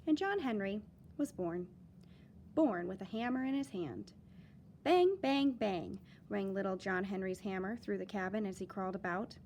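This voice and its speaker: Expressive woman reading a picture book. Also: mid-range voice, moderate pace, slight background noise. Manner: expressive, lively storytelling with dramatic emphasis. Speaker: teacher reading aloud. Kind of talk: children's picture book read-aloud.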